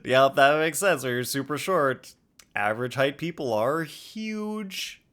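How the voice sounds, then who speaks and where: A man's voice: mid-range voice, expressive, a little slow, background noise. A young man streaming a game, chatting casually and playfully with viewers and joking as he reacts. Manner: playful, jokey, reads chat aloud.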